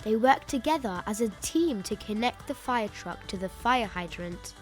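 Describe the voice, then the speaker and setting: A woman reading a children's picture book aloud in an expressive, emphatic way, stretching out key words. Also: fairly high pitch, moderate pace. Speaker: children's story reader. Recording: music bed behind the voice.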